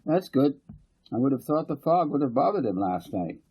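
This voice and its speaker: A man voicing a brisk, watchful mother in an audiobook story. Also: medium pitch, big pitch swings, mellow, husky, voice pushed up. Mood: probing, calm.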